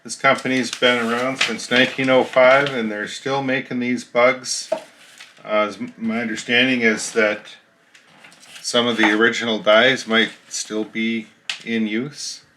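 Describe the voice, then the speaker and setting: A man's voice: mid-range voice, level tone, unhurried, noisy background. A man casually narrating an unboxing of a radio key, explaining each part plainly as he shows it.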